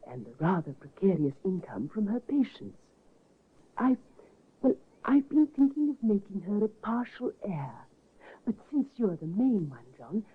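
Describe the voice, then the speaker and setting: A husky, earnest invalid daughter. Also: female voice, mid-range voice. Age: 50s. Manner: earnest and hesitant. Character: earnest, frail middle-aged invalid daughter. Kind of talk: 1950s radio drama acting. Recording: tinny restored old radio broadcast.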